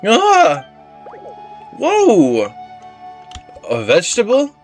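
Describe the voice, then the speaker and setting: Man putting on a high, excitable little fairy girl. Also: drawn-out words, soft background music, heavily acted. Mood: startled. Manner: speaks of self in third person, exclaiming. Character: small cute excitable female fairy companion, anxious and curious.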